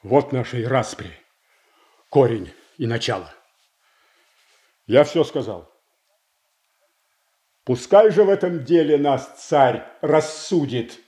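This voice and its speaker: An actor playing a proud, indignant prince in a classical radio tragedy, declaiming with blunt, weighty deliberation. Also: male voice, medium pitch, animated, slow pace, pauses often, mellow. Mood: indignant, accusing. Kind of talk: classical Russian stage tragedy acting for radio.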